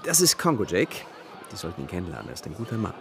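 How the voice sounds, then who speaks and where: A man's voice: deep voice, huge pitch swings, racing, vocal fry, background noise, exaggerated voice. A man playing a friendly, slightly wistful young newspaperman in a radio drama, casual and sociable. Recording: music behind the voice.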